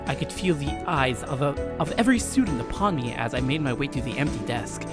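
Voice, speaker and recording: Animated young man over music. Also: medium pitch, a little fast. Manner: irreverent, swearing, jokey. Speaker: let's play host. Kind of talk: reading a visual novel aloud with casual commentary. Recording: game music behind.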